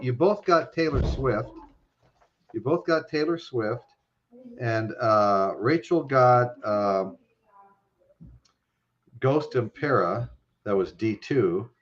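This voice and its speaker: Guy hosting a stream, rambly, laughs a lot, kinda dull lo-fi sound. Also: mid-range voice. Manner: rambling, laughs often, many fillers. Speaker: host. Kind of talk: casual live-stream chat.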